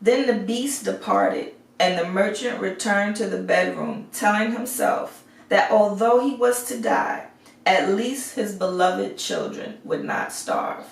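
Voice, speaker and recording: A woman reading a fairy tale aloud as storyteller, measured and expressive, in an echoey recording. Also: mid-range voice, creaky. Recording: echoey room, far from the mic.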